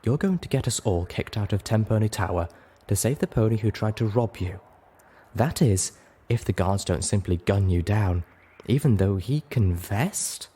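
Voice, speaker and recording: A man voicing an adult female unicorn, refined, caring and forceful, in a storybook reading. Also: on the low side, animated, moderate pace, slightly rough. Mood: exasperated. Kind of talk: audiobook character voice.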